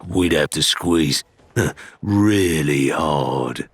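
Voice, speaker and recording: Brief: big gruff pirate, low and gravelly, slow, jokey. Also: lively intonation, dry recording, exaggerated voice. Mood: amused. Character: Little Ned, hulking gruff good-humoured male pirate.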